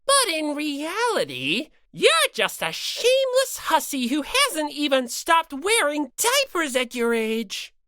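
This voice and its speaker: Mischievous bear villain mascot, smug, mock-sweet, theatrical, with a lively swooping delivery for an audiobook. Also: female voice, high-pitched, takes it slowly, few pauses, no room sound, studio quality, voice pushed up, heavily acted. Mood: taunting. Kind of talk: dramatized audiobook character reading.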